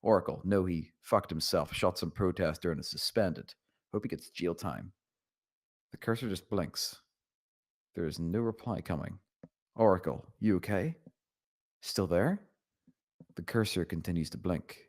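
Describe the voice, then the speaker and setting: A man's voice: sits low, unhurried, pauses often. A man narrating a screenplay, reading out the scene directions.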